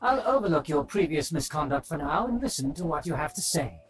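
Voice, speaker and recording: Haughty aristocrat, high male voice, pompous, formal archaic phrasing, video game dialogue, faint music. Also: sing-song, brisk. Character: pompous, haughty aristocratic nobleman, easily flattered. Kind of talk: scripted video game character acting.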